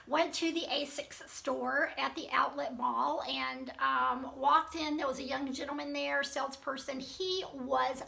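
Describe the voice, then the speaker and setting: A woman coach teaching leadership in an earnest, steady way, her voice gravelly from allergies and heavy with fry. Her tone is bright and forward, and she walks through her points in lists with the odd um. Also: high voice, measured pace, few pauses. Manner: earnest, repeats points in lists, many ums. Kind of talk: recorded teaching talk.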